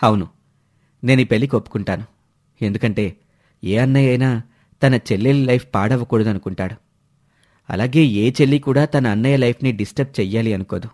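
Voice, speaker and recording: Mellow, selfless devoted elder brother voiced by a man. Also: mid-range voice, brisk, long pauses, natural voice. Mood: earnest, resolved.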